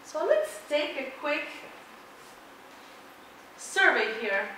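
A middle-aged woman from Mexico giving an energetic, emphatic motivational talk to students, laughing often as she walks around. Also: high voice, very slow, a little hiss or hum. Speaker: keynote speaker. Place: large lecture hall. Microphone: far room pickup. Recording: echoey hall from far off.

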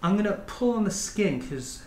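A middle-aged man, a chiropractor calmly instructing and explaining adjustments to a patient as he works. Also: high voice, moderate pace. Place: small indoor treatment room. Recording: echoey room with background noise.

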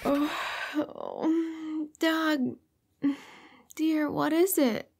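An actress playing an exasperated, complaining wife in an audio horror drama. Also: female voice, high voice, drawn-out words, vocal fry, a little mellow, slight background noise, heavily acted. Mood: exasperated, scolding. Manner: complaining, scolding. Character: nagging, exasperated sleepy wife.

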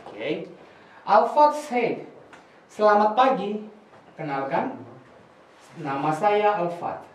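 A young man with Indonesian as his first language teaches a beginners' class, explaining slowly and patiently and repeating words for the students. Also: high-pitched, darkish tone, recorded from a distance, a little hiss or hum. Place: small room with projector screen. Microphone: far camera. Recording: echoey room recorded from afar.

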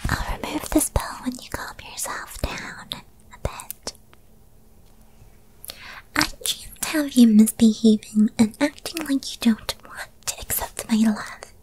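A woman voicing a possessive, lovestruck young witch girlfriend in an ASMR roleplay, in a breathy, cooing and controlling manner. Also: fairly high pitch, a little mellow, lightly acted, low-quality recording. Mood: hurt, coaxing.

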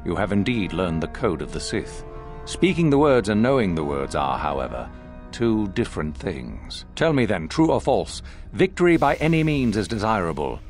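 An actor playing a stern, appraising Sith master in a video game, speaking in a measured, formal, deliberate way. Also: male voice, fairly low pitch, sing-song, darkish tone, soft background music. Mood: approving, imperious. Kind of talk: video game character acting.

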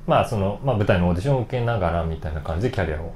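A man's voice: low-pitched, lively intonation, rapid-fire, a little mellow. A middle-aged man hosting a casual interview, curiously asking follow-up questions and chiming in often with short responses. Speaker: host, voice actor. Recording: roomy echo, faint background noise.

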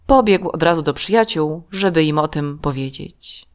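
A woman narrating a scripted children's story in a measured, expressive way. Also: low voice.

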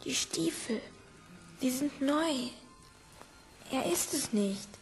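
A small orphan boy in a radio play, high-pitched and dreamy. He speaks slowly and earnestly, with music clearly behind him. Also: expressive, full character voice. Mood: uneasy. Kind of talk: German audio drama acting.

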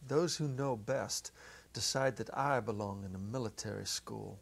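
A middle-aged man giving a measured, expressive dramatic reading of a story as narrator. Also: lowish voice, gravelly, breathy edge. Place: small indoor set. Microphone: lapel.

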